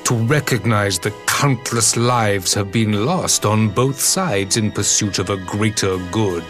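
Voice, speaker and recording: A man playing a stern, proud emperor in a game cutscene, speaking in haughty, measured, scornful oratory. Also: fairly low pitch, a bit bright, soft background music. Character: stern, imperious armored emperor, proud and contemptuous. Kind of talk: formal dramatic game cutscene acting.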